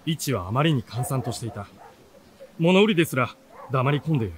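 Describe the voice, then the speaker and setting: Man acting an earnest, animated bookish teenage youth. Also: medium pitch, a little fast, background noise, close to an everyday voice. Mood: curious narrating. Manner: expressive, earnest storytelling. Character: earnest seventeen-year-old youth, bookish runaway storyteller. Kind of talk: radio drama narration and dialogue.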